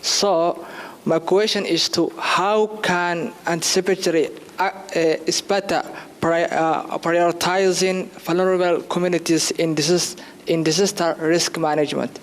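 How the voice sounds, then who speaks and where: A man from Somalia with a fairly high voice asks his question from the floor. He hesitates often and restarts words as he goes. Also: little pitch movement, a little slow. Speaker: audience member. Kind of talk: asking a question.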